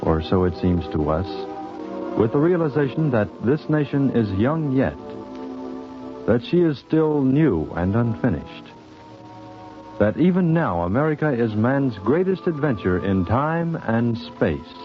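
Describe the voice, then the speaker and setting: Male announcer, formal and measured, average pitch, dull vintage radio sound. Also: sing-song. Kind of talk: formal scripted radio introduction. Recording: muffled 1950s radio recording with music.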